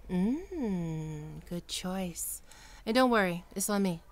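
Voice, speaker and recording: Woman acting a lively, friendly young ghoul girl. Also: lowish voice, takes it slowly. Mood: friendly, casual. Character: friendly young female ghoul, listener's caring best friend. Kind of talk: ASMR roleplay, acted one-sided dialogue.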